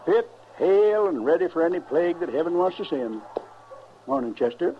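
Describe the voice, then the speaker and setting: A man's voice: on the high side, expressive, normal speed, close to an everyday voice. Man playing a folksy old Western sheep farmer. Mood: folksy, hearty. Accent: rural American West. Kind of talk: 1950s Western radio drama acting. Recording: dull, noisy old radio recording.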